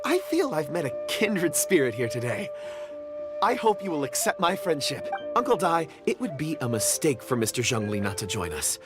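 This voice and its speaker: Eager game gentleman: effusive, courteous, admiring, lively melodic delivery over music. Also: a little high, moderate pace. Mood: effusive, earnest. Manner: effusive, polite. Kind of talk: video game voice acting. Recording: music clearly under the voice.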